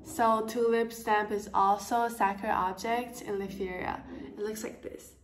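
A woman's voice: mid-range voice, steady tone, a little slow, distant mic, slight background noise. Teenage girl from Taiwan in an echoey room. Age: teens. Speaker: student presenter. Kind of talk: school project presentation.

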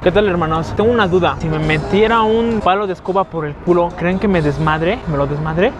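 A young man with a Mexican accent, hosting a street prank video and asking absurd questions in a deadpan, mock-pleading way on an action camera. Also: sits high, fairly quick. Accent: Mexican Spanish. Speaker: prankster host. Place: streets, shops and a car lot, mostly outdoors. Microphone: handheld action camera. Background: background music.

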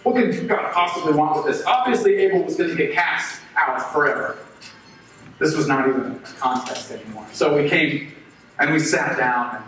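A man's voice: fairly high pitch, moderate pace, a bit bright, close to an everyday voice. A man performing a first-person dramatic monologue as a resentful, jealous young farmer, speaking with impassioned, ranting intensity. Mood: brooding. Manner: impassioned, swings from brooding to ranting. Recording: very echoey hall, voice from far off, faint noise.

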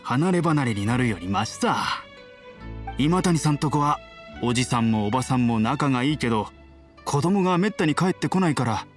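A man playing a kind, steady middle-aged villager in an anime game, calm and neighbourly. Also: medium pitch, normal speed, faint music behind, natural voice. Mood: calm, caring. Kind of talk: Japanese anime game voice acting.

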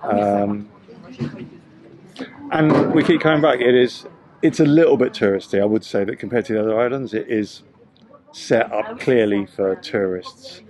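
A man in his forties, a sailing vlogger, chatting casually outdoors in a relaxed, rambling way. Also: mid-range voice, moderate pace, pauses often, crowd noise. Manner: relaxed, rambling, thinks aloud with restarts. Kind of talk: casual chat. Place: outdoors on a boat and a rocky shore.

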